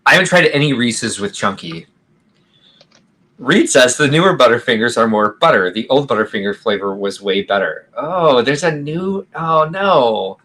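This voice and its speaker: A man's voice: medium pitch, animated, normal speed, sharp tone. A man co-hosting a casual livestream chat, rambling and reading viewer comments aloud with frequent laughs. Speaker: podcast co-host.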